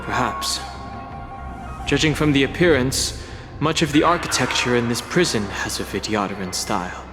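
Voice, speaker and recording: An actor voicing a calm, observant young male traveler in a video game, reserved and thoughtful. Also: medium pitch, moderate pace, lightly acted. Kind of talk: scripted video game dialogue. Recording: long hall reverb, music behind.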